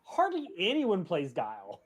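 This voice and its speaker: A man's voice: high voice, wildly swooping pitch, a little slow. A man co-hosting a podcast, giving blunt opinions in casual chat with emphatic exaggeration. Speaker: podcast co-host.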